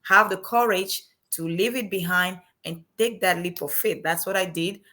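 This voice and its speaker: A woman's voice: fairly low pitch, normal speed, pushed and bright. A young woman from Cameroon with a French-Cameroonian accent, speaking as an earnest, motivational guest on an interview show. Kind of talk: interview chat.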